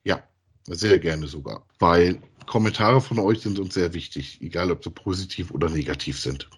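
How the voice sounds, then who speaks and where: Male podcast co-host, deep and husky, casual conversational delivery. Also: moderate pace. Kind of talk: casual chat about audio dramas.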